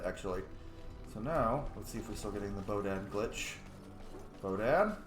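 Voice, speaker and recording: A man hosting a let's play, commenting casually and excitedly, swearing and humming along as he plays. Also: mid-range voice. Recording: echoey room. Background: game music.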